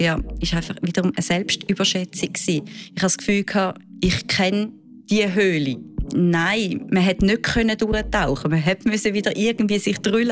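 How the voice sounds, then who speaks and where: A woman with a Swiss German accent, a diving instructor who answers interview questions in a lively, chatty way with frequent fillers. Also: medium pitch. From Switzerland.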